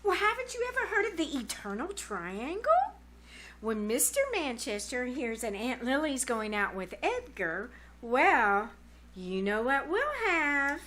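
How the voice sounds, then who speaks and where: A woman's voice: high-pitched, very animated, measured pace, fairly bright tone, heavily acted. A woman playing a bossy, scheming teenage girl in old-time radio comedy style, emphatic and wheedling. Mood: scheming, eager. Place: live on a small theater stage.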